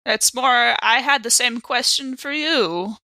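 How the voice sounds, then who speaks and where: A woman playing a guarded, defiant female ex-convict in a tabletop game, speaking in a dry, measured way. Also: on the high side, sharp tone, no echo, voice pushed up, heavily acted, poor audio. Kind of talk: improvised tabletop roleplay.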